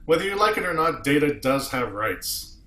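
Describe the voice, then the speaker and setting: A man's voice: a little high, very animated, moderate pace, bright, forceful tone. A man playing a stern, principled starship captain in a table read, formal and commanding, recorded in an echoey room. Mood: firm, indignant. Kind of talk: amateur table read of a sci-fi script.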